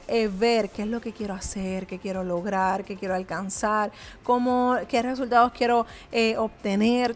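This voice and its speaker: Earnest woman, higher voice. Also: slight background noise. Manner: earnest, conversational, frequent "eh" fillers. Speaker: small business owner and coach. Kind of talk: motivational vlog talk to viewer.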